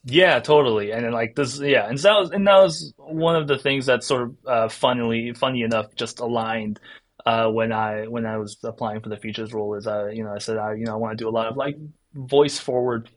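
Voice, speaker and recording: A man from South Korea, a guest on a games podcast, who talks in loose, rambling sentences full of fillers and restarts. His voice sits at an ordinary middle pitch for a man. He speaks conversationally and never sounds scripted. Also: brisk. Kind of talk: casual podcast interview.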